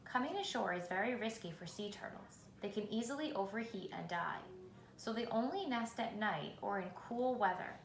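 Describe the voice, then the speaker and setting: A woman reading a children's picture book aloud in a measured, expressive storytelling manner, with pauses for effect. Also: medium pitch, soft background music. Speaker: reader. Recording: roomy echo, faint background noise.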